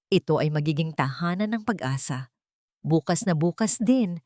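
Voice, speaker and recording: A deliberate, formal Filipino attorney acted by a woman narrator gives calm reassurance as a family ally. It is audio story dialogue with a dry sound. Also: lowish voice, no echo, lightly acted.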